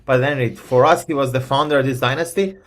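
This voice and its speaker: A man's voice: mid-range voice, quick pace. A man from Iran whose first language is Persian, answering interview questions as an expert with frequent ums and restarts. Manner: hesitant, many ums and restarts. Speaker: guest expert.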